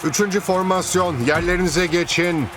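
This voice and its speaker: A man voicing a steady, rallying football team captain in a cartoon, commanding and encouraging. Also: sits high, moderate pace, over music, lightly acted. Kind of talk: animated cartoon dubbing. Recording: clear background noise, ambience.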